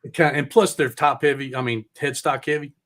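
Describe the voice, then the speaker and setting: A man's voice: mid-range voice, steady tone, a little fast, fairly bright tone. A middle-aged man hosting an interview as a casual chat, informal and rambling, with the odd swear word. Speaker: podcast host.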